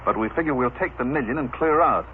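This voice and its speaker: An insolent, wormy grifter played by an actor talks in sneering slang at a medium pitch. The vintage radio drama recording is narrow and hissy. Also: male voice, lively intonation, fast, close to an everyday voice. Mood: demanding. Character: insolent, wormy small-time grifter, a chiseling brother. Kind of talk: old-time radio soap opera acting. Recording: thin, noisy old radio recording.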